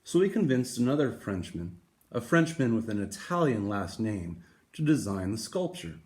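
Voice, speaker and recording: A man hosting a read-aloud for schoolchildren, speaking in a deliberate storytelling way to young listeners. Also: fairly low pitch, big pitch swings, a little mellow. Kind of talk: expressive children's picture book read-aloud.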